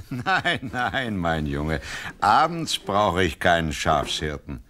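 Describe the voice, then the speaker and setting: An actor playing a shepherd boy's gruff but fond father in an audio play, theatrical and affectionate even when scolding. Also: deep voice, slow, heavily acted. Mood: patient, firm.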